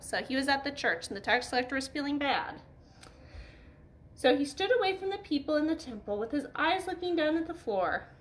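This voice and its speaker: A woman's voice: a little high, fairly bright tone, a little hiss or hum. A woman, reading and simply explaining a story for children, often asking them questions. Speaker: Bible story reader for children. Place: living room by a fireplace.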